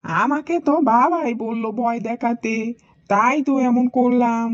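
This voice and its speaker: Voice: medium pitch, measured pace, no room sound, highs cut off, close to an everyday voice. A voice actor playing a sullen young man in a cartoon, whining excuses. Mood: whiny.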